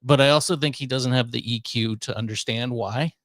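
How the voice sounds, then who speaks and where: A man's voice: medium pitch, brisk, a bit bright, dry recording, clean studio sound. A man hosting a radio show, talking in casual conversation. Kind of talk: casual chat, airing workplace grievances.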